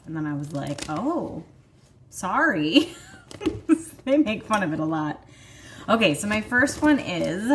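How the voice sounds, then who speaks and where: A woman's voice: lowish voice, a little hiss or hum. A woman in her thirties with a Michigan accent, hosting a vlog in chatty, rambling casual talk full of fillers. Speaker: vlog host. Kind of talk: casual chat.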